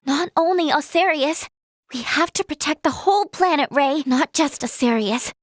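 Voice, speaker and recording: A woman voicing an earnest, kind-hearted young female healer in a video game, with a polite, softly hesitant manner. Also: high-pitched, normal speed, no room sound, clean studio sound, close to an everyday voice. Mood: earnest, determined. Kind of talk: scripted game character dialogue.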